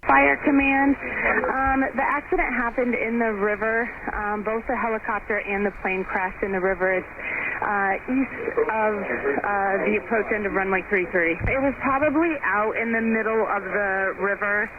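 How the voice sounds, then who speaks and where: A man's voice: high-pitched, moderate pace. A man giving an emergency report as an air traffic controller over a radio transmission. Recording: narrow, very noisy radio link.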